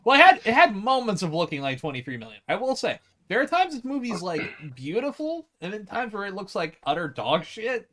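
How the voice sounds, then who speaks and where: A man co-hosting a podcast, giving an informal, casual film review with measured opinions and some mild swearing. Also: sits high, very animated, a bit bright. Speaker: podcast co-host.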